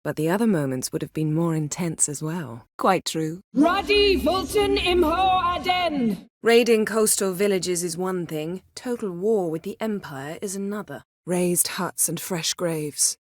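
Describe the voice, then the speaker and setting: A proud video game sorceress with a fairly low voice and a very dry, close sound. She is cool and commanding, with a wry, sharp tongue. Her delivery stays measured and imperious. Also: dry recording. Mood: urgent, shouting.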